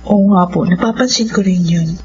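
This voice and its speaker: A woman's voice: mid-range voice, moderate pace, natural voice. A woman playing a caring, respectful young woman with a Filipino accent in a radio drama. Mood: polite, uneasy. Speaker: drama voice actor. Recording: dull audio, humming, music underneath.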